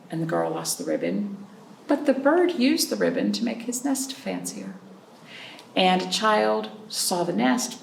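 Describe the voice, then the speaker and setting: Animated woman reading a children's storytime. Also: fairly low pitch, vocal fry. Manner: prompting listeners with questions and pauses; expressive, drawn-out reading of story phrases. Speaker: children's librarian hosting storytime. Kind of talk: read-aloud storytime for young children. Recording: roomy, distant mic, faint noise.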